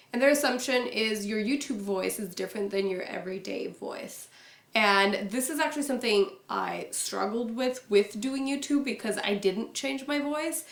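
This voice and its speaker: Reserved young woman vlogger, chatty and low-key, recorded in an echoey room. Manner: soft-spoken, reserved, many fillers. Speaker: YouTuber. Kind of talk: casual chat to camera.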